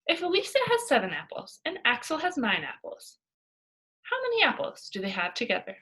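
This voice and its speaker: A woman voicing a prim female schoolteacher in a children's storybook, brisk and coaxing with strained patience. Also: on the high side, wildly swooping pitch, sharp tone, slightly rough, voice pushed up, full character voice, rough recording. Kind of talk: children's storybook read-aloud.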